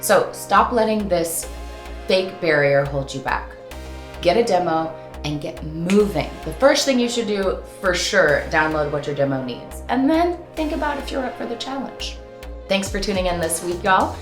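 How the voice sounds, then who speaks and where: A woman's voice: on the low side, animated, normal speed, echoey room, soft background music. A woman hosting an upbeat instructional vlog, talking informally and playfully with theatrical, sing-song flourishes. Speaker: host and voice-over coach.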